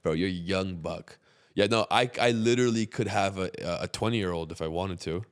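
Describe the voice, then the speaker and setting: A man in his mid-thirties from Queens, New York, with a fairly low voice. He talks bluntly and jokes around, swearing casually in a relaxed podcast chat. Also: normal speed, clear, resonant tone. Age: 30s. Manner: blunt, joking, swears freely.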